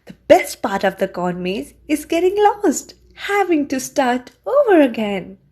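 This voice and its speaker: A woman reading a children's storybook aloud in an expressive, deliberate way meant for young listeners. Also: high voice. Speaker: children's book reader.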